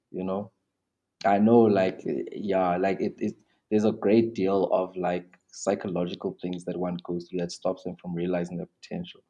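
A man's voice: deep voice, mellow, highs cut off. A young South African man talking casually as an interview guest, rambling with many restarts and fillers.

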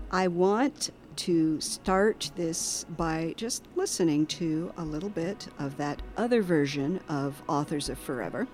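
Thoughtful, halting woman with a lowish voice. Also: darkish tone. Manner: thoughtful and halting, repeating words and phrases. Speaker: podcast host. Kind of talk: reflective conversation about music.

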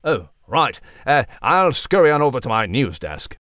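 A man's voice: mid-range voice, sing-song, normal speed, fairly bright tone. A man voicing a prim, courteous, wordy mouse newsreader with a British accent for a children's podcast. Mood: dry, courteous. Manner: pompous, wordy, formal. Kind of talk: mock news report in a children's podcast.

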